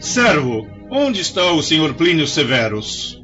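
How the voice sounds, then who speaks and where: A commanding imperial official. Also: male voice, on the high side, very animated, a little slow, pushed and bright, soft background music, heavily acted. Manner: formal, giving orders. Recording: dull lo-fi audio with faint score.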